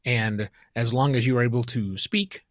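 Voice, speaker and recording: Guy hosting a podcast interview, mid pitched voice, conversational, really dry sound. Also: normal speed, no room sound.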